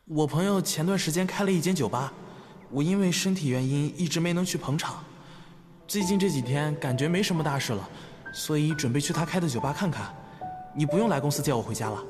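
An actor playing a spirited, bashful young man in a romance audio drama, expressive and boyish in delivery. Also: on the high side, brisk, faint music behind, close to an everyday voice. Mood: casual. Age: young adult.

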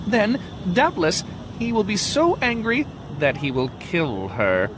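A man's voice: high-pitched, wildly swooping pitch, takes it slowly, noisy background, voice pushed up, exaggerated voice. A man voicing jealous scheming sisters.

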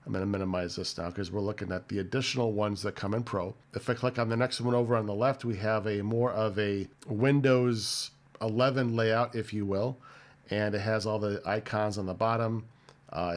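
Man in his forties, low voice, slightly nasal with a cold, casual tech host, home office. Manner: conversational, many fillers and restarts. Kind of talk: casual tech review and walkthrough. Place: home office with desk and monitor.

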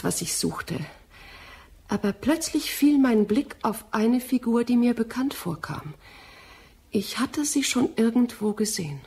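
An actress in a German radio drama playing a determined, accusing young scientist of the nineteenth century, earnest and precise. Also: female voice, fairly high pitch, a little mellow, breathy edge, exaggerated voice.

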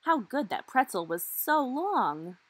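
A woman's voice: high voice, very animated, takes it slowly, a bit bright, breathy edge, dry recording. A woman reading a children's storybook aloud expressively, with dramatic emphasis on key words. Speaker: storybook reader.

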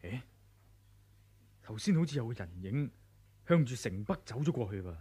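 Earnest young martial arts hero. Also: male voice, mid-range voice, sing-song, moderate pace, mellow, husky. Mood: alert, suspicious. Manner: earnest, dramatic self-questioning narration of thoughts. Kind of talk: Cantonese radio drama acting, thinking aloud.

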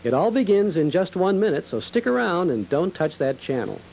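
A man's voice: sits high, sing-song, moderate pace, creaky, a little mellow, slight background noise, rough recording. An American man hosting a nostalgia program, presenting and interviewing guests. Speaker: TV show host and interviewer.

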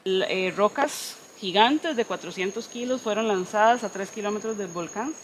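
A woman working as a tour guide, explaining facts to visitors in a measured, informative way. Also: mid-range voice, slight background noise, low-quality recording.

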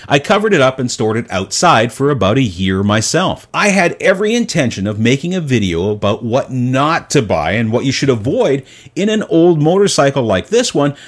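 A middle-aged man narrating his motorcycle restoration in a scripted voice-over, with a wry, animated delivery. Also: medium pitch.

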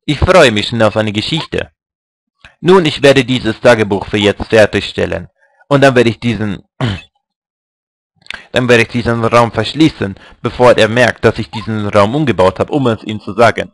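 A man's voice: fairly low pitch, a little slow, no room sound. A man voicing a shy younger brother in a video game, reading his diary aloud hesitantly.

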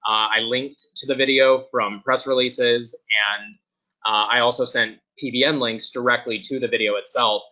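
A man giving a casual webinar testimonial as a guest, his voice starting to go and needing frequent throat clearing. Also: medium pitch, fairly even intonation, moderate pace, sharp tone. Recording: dull, lo-fi webinar audio.

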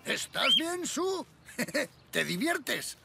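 A playful old grandfather zebra from a children's cartoon, acted by a woman. The voice is fairly high and swings widely in pitch, kindly and encouraging, with a creaky edge. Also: big pitch swings, heavily acted. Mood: cheerful, playful. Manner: encouraging, unhurried. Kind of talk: Spanish children's cartoon dub. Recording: music behind the voice.

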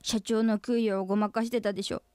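A woman's voice: medium pitch, little pitch movement, fast, a little mellow, clear, resonant tone, dry recording, close to an everyday voice. An actress playing a stern, exasperated female employee in a comedic radio drama, confronting her boss in polite but blunt, matter-of-fact speech. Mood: insistent.

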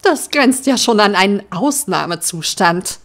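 A woman's voice: medium pitch, lively intonation, a little slow. A woman playing a dry-humored, teasing small-town deputy with an ironic manner in an audio drama. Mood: mock serious.

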